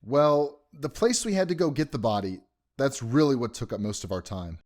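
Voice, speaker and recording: An apologetic man explaining himself in an audio drama, played by an actor. His voice has a middle pitch. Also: lively intonation, moderate pace, a little mellow, lightly acted.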